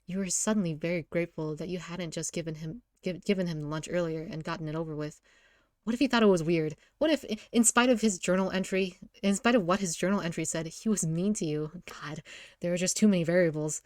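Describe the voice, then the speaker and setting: Lower-voiced woman narrating, close and dry. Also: quick pace, a little mellow, no room sound. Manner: giggly, often stumbles and rereads lines. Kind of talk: informal read-aloud narration of a visual novel.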